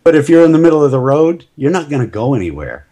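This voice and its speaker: A man's voice: fairly high pitch, big pitch swings, quick pace, mellow. A middle-aged man talking casually as a podcast guest, chatty and self-deprecating, laughing at himself often. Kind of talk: casual interview chat.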